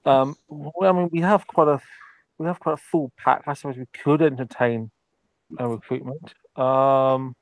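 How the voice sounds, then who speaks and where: A man's voice: medium pitch, fairly even intonation, moderate pace, no room sound, low-quality recording. Hesitant man roleplaying with dry sound. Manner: hesitant, many ums and restarts. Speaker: tabletop roleplay player. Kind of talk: in-character tabletop roleplay.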